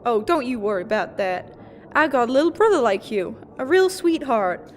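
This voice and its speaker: Big-hearted young fantasy receptionist, female actor, friendly and lilting, high-voiced, slight hall reverb. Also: very animated, normal speed, a little hiss or hum. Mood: warm, caring. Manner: gentle, friendly, sisterly. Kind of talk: fantasy audio drama acting.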